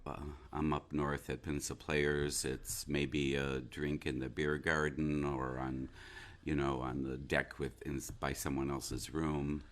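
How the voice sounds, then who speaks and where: Male actor in casual conversation, very low voice, slow and halting, with false starts and reflective delivery. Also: fairly bright tone. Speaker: stage and radio actor.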